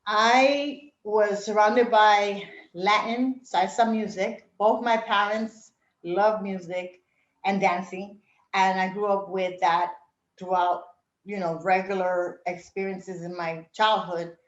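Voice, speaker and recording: A woman's voice: medium pitch, steady tone, slow. A middle-aged woman, raised in Brooklyn and born in Puerto Rico, reminiscing casually as an interview guest, with many fillers and frequent laughs. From Puerto Rico, raised in Brooklyn, New York. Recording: roomy, dull lo-fi sound.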